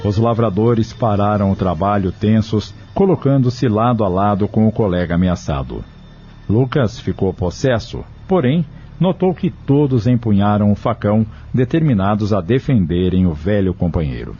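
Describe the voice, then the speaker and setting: A man's voice: lowish voice, lively intonation, moderate pace, a little hiss or hum. A man narrating a scripted audio novel in a dramatic storytelling style. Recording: dull, radio-like lo-fi audio. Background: soft music.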